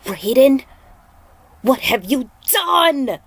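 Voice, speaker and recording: A woman's voice: sits high, very animated, very slow, breathy edge, pitched above the performer's own voice, exaggerated voice. A woman voicing an earnest, heroic male warrior monk champion with an intense, dramatic delivery. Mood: anguished.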